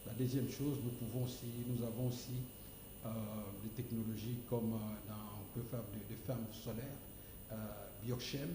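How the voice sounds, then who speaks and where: A man's voice: mid-range voice, long reverb tail, noisy background. A man from the Congo, a guest entrepreneur on a TV studio set, speaks hesitantly with many fillers and repeated words, growing emphatic. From Democratic Republic of the Congo. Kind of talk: TV studio interview.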